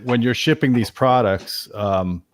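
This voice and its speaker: A man reviewer asking curious, halting technical questions in a panel chat. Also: mid-range voice, very noisy.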